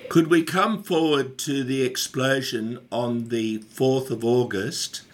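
A man asks an interviewee calm, measured questions in a radio interview. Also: mid-range voice.

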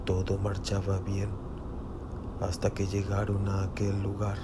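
A man's voice: sits low, completely flat delivery, measured pace, very noisy. A man narrating a scripted suspense audio drama.

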